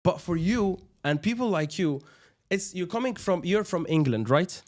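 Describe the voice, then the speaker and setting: A man's voice: sits high, expressive, moderate pace. A man from Algeria hosting a podcast, asking eager questions with many fillers and restarts. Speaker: podcast host. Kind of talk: conversational interview.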